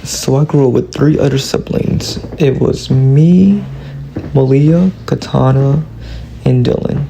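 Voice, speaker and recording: Gravelly young man presenting. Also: mid-range voice, slow, poor audio. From Louisiana, US. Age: early 20s. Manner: steady reading of a prepared script. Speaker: student presenter. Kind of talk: class presentation.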